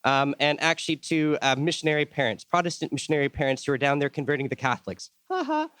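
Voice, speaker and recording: A man's voice: a little high, animated, fast talker, a bit bright, clear, resonant tone, no room sound. A man who grew up in El Salvador, giving an enthusiastic workshop talk to an audience and often repeating words as he thinks. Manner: enthusiastic, repeats words while thinking, acts out quoted voices.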